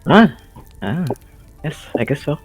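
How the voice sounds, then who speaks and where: A man's voice: fairly high pitch, very animated, slow, breathy edge, faint music behind, full character voice. A man playing an awkward, well-meaning adventurer in a tabletop audio drama, stammering and wry. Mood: awkward concern.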